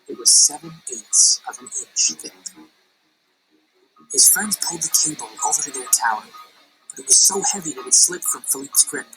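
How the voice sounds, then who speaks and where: A woman teacher reading a picture book aloud in an expressive storytelling voice, slowing down on dramatic phrases. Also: a bass voice, rough recording. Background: music.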